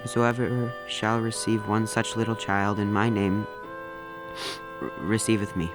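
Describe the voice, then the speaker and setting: An earnest son played by a man reads aloud in a fairly low voice. The solemn, slightly stumbling delivery is from a radio drama, with music underneath. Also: flat delivery, unhurried, natural voice. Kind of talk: old-time radio drama acting.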